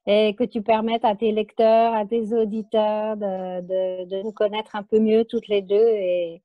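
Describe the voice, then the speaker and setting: A woman in her late sixties from Paris with a Parisian French accent, a guest speaking softly and hesitantly about her spiritual path in a remote interview. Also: medium pitch, little pitch movement, unhurried, no echo, phone-quality sound. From France, Paris. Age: 60s. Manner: soft, hesitant, many fillers and repeats. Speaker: guest author.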